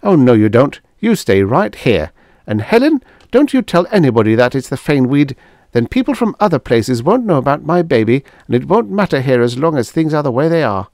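A man's voice: mid-range voice, big pitch swings, moderate pace, darkish tone, dry recording, studio quality, pitched above the performer's own voice. A man voicing a stern, practical woman who speaks severely and bitterly.

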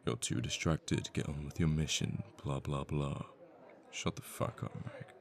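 A man playing a cocky assassin boyfriend in an intimate ASMR audio roleplay, murmuring and sweary, with sighs and pauses. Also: extremely low pitch, fairly even intonation, creaky. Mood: cocky, irritated. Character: cocky young male assassin, flippant, menacing, secretly a devoted boyfriend.

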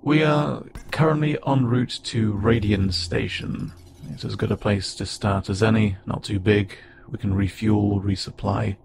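A man voicing a friendly, curious, slightly awkward alien spacefarer in a conversational audio roleplay, speaking haltingly and wryly. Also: on the low side, gravelly, very noisy. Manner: casual, halting, gently wry. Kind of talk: conversational audio roleplay acting to an unheard listener.

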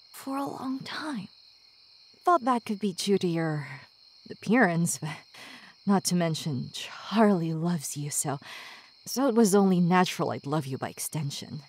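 A voice actress playing a sadistic, possessive female demon lover in an intimate ASMR roleplay, breathy and lingering, often dropping into a whisper, swinging between coaxing and menace. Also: on the low side, a little mellow, exaggerated voice.

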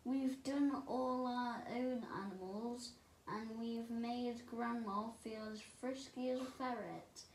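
A woman voicing a patient, worried young boy, reading slowly and hesitantly. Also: a little high, fairly even intonation, a little mellow. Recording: roomy room with faint background noise.

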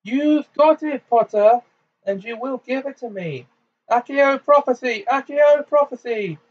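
A man voicing a cruel, fanatical adult witch in an audiobook, shrill, imperious and frantic. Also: steady tone, measured pace, highs cut off. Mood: shrieking, alarmed.

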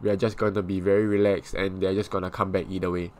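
A man's voice: low-pitched, flat delivery, fast talker. A young man from Singapore with a Singaporean English accent, chatting loosely through his cycling vlog with plenty of fillers. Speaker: cycling vlogger. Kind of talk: casual vlog narration. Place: outdoor cycling track on a hot morning.

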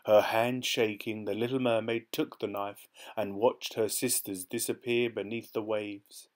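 Calm low-voiced man reading. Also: measured pace. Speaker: storybook reader. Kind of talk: gentle read-aloud storytelling.

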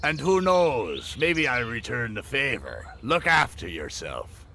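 An actor playing a shady, flattering old seaman in a video game, with a sly, ingratiating manner. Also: mid-range voice, slow pace, slight background noise, heavily acted. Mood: smug. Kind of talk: video game dialogue acting.

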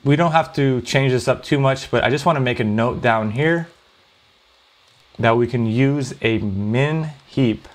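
A man's voice: medium pitch, measured pace. A man hosting a programming tutorial, explaining step by step in a conversational way.

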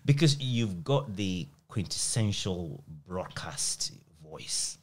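Guy hosting an interview podcast, lowish voice, measured questions. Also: lively intonation. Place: small plain indoor room. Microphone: close microphone on a stand.

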